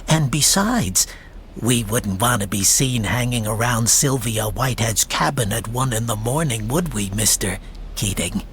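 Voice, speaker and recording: An actor in a radio drama playing a sly, talkative private insurance investigator with a wordy, mock-polite manner. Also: male voice, medium pitch, expressive, measured pace, fairly bright tone, a little hiss or hum. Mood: sly, genial.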